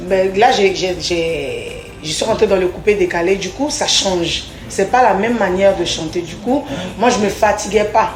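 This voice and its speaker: A woman's voice: medium pitch, normal speed, few pauses, faint music behind. Woman singer in an echoey room. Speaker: singer being interviewed. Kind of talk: casual interview, personal storytelling.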